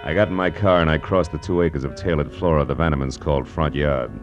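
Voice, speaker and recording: Very deep-voiced wry private eye. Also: male voice, fairly even intonation, quick pace, over music. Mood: terse narration. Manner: sardonic, clipped wisecracking. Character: wry, tough male private detective. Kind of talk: 1950s radio detective drama acting. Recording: muffled, noisy vintage radio recording.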